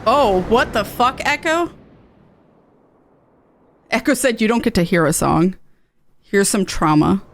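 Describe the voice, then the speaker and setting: A woman's voice: mid-range voice, very animated, unhurried, pauses often, a little hiss or hum. A playful, sweary woman chatting casually while streaming an online game. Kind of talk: casual stream chat and reading game dialogue. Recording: music in the background. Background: game music.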